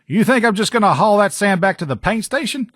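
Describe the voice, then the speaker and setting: A man's voice: sits high, expressive, fairly quick, a bit bright, no echo, studio quality. A man playing a brash sheriff's lieutenant and proud armor mechanic in a video game, blunt, sardonic and salty-tongued. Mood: casual, businesslike. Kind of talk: video game character dialogue.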